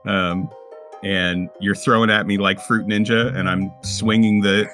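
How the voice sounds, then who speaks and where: Low-voiced man at a gaming table. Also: level tone, a little slow, a bit bright, soft background music. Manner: hesitant, repeating words, casual swearing. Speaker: player in a tabletop roleplaying game. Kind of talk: casual table chat and in-character play.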